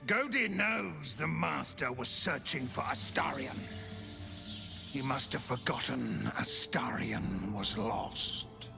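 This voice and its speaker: An actor playing a cruel undead kennel master in a video game, taunting and creepily servile. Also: male voice, medium pitch, expressive, gravelly, exaggerated voice. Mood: grim, obedient. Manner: drawn-out, creepy, taunting, speaks of himself in third person. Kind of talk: video game villain acting. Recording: game music under the voice.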